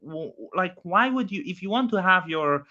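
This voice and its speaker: A man with a Polish accent hosting a casual chat, speaking haltingly with frequent restarts. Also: high-pitched, lively intonation, pushed and bright. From Poland. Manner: halting, many restarts, non-native phrasing. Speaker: host. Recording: dull lo-fi audio.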